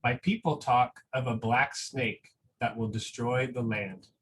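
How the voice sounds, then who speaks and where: A man reading a picture book aloud as a solemn young girl, in a measured storytelling manner. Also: medium pitch, fairly even intonation, a bit bright, breathy edge, far from the mic.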